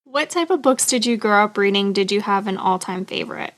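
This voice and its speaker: A woman's voice: mid-range voice, lively intonation, a little fast. A woman hosting an author interview, asking questions in a conversational way. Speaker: interview host.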